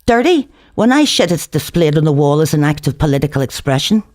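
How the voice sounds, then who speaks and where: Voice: medium pitch, very animated, brisk, darkish tone, natural voice. A narrator voicing a boastful, combative man working a café night shift.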